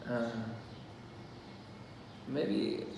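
A man in his thirties from Syria with a Syrian Armenian accent, speaking English haltingly as a second language in an interview. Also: noisy background. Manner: halting, searching for English words. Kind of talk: casual oral-history interview. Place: small cafe, indoors. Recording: echoey room from far off, crowd behind.

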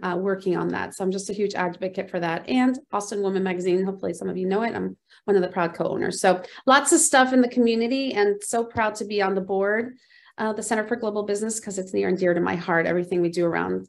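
A woman's voice: medium pitch, gravelly. A woman in her forties from Venezuela, a PR director speaking on a virtual panel over a video call, enthusiastic with many fillers. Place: office at home, indoors.

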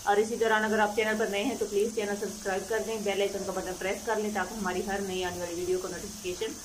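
A woman's voice: mid-range voice, flat delivery, fast, noisy background. A middle-aged woman hosting a cooking channel, explaining each step of a home recipe patiently. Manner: patient, instructive, homely. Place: home kitchen.

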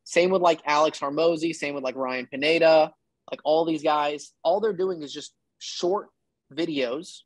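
A man's voice: a little high, unhurried, no echo, rough recording. A man in his thirties giving casual, emphatic and blunt advice as a coach on a one-on-one video call. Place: indoor room, video call.

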